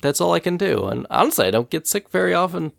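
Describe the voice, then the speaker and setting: A man hosting a casual podcast, nasal and scratchy from a cold. His pitch swings a lot as he tells a story, and his voice has a gravelly edge. The recording is dry and close. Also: quick pace, no room sound. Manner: chatty storyteller, laughs often, animated retelling. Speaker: podcast host.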